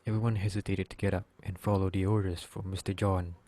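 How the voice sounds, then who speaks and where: A man reading scripted story narration as narrator, halting now and then as he slips over words. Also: low-pitched, level tone, warm tone. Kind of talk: scripted narration, read aloud.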